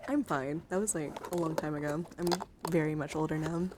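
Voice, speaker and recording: A woman livestreamer talking in a casual, rambling ASMR style, with a soft voice that often slips toward a breathy whisper, close to the microphone. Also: deep voice, brisk, background noise. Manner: rambling, informal. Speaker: livestreamer showing card decks.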